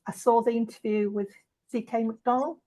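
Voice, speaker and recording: A woman's voice: a little high, steady tone, moderate pace, dark tone. A middle-aged woman chatting casually as a reader on a book club video call. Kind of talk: casual chat.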